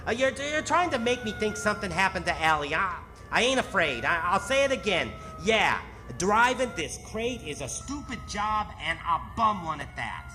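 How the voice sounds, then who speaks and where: An actor playing a gruff, cynical ambulance driver with slangy working-class talk in old-time radio theatre. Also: male voice, sits high, very animated, normal speed, hardly any pauses, over music. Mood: bitter, defensive.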